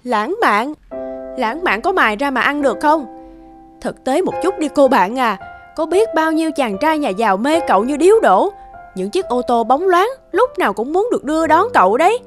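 A young woman voicing a talkative, materialistic friend in an animated story, lecturing and pushy. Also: sits high. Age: young adult.

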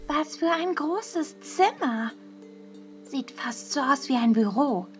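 A woman's voice: high voice, expressive, slow pace, lo-fi sound, soft background music. A woman playing a playful, childlike teenage girl in an anime audio drama, with a naive, curious, singsong manner.

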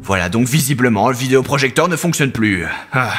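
A man's voice: mid-range voice, lively intonation, brisk, a bit bright, soft background music, close to an everyday voice. A man playing an earnest, pompous, aggrieved opposition politician in a comedic sci-fi radio play. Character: earnest, indignant, self-important male opposition politician.

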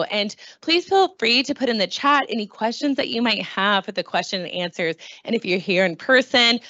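A woman's voice: medium pitch, a bit bright, no room sound, highs cut off. A young woman hosting a library event, reading a formal, welcoming introduction of a guest speaker into a handheld microphone. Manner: formal, welcoming, reads lists of names and awards. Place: library meeting room with large windows.

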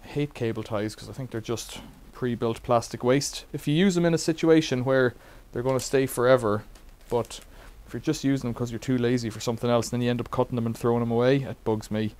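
A man's voice: mid-range voice, fairly even intonation, brisk, few pauses, darkish tone. A middle-aged man casually demonstrating a bike repair in a cluttered workshop, rambling with wry asides.

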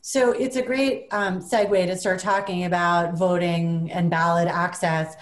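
A woman's voice: lowish voice, fairly even intonation, a little slow. A woman in her forties moderating a panel on a video call from a home room, asking long enthusiastic questions. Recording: echoey room.

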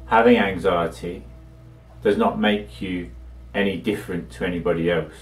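A man leading a guided meditation with measured, soothing instructions and long pauses, recorded echoey and distant from the microphone. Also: deep voice, fairly even intonation, soft background music.

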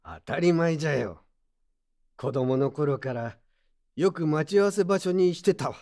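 An actor playing a gruff but kindly old craftsman in an audio drama, with rustic, plain-spoken elderly speech. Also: a little high, a little slow, darkish tone. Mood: nostalgic. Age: older. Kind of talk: Japanese audio drama acting.

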